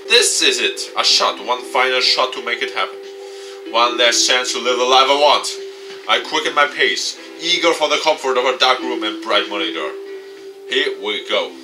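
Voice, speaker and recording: A man reading a visual novel aloud and commenting off the cuff, dramatic with excitable asides. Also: mid-range voice, normal speed. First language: not English. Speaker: let's play YouTuber. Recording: distant mic, music behind. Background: game music.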